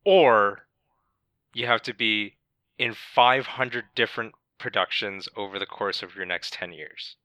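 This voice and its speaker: A man's voice: fairly low pitch, a little slow, pushed and bright, no room sound. A man hosting a casual podcast chat, posing long hypotheticals with frequent restarts. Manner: poses hypotheticals, restarts often.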